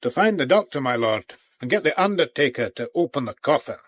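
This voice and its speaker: Scottish country police inspector, radio play, plain spoken and respectful, lively voice, very dry sound. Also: male voice, mid-range voice, a little fast, dry recording. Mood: dogged, puzzled. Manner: deferential, plain-spoken, homely dialect. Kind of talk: radio drama acting.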